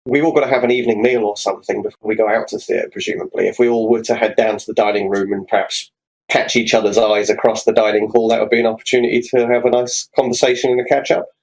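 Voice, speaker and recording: Husky, low-voiced man, wry player. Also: fairly even intonation, fast talker, darkish tone, rough recording. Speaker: tabletop game player. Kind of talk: casual game discussion.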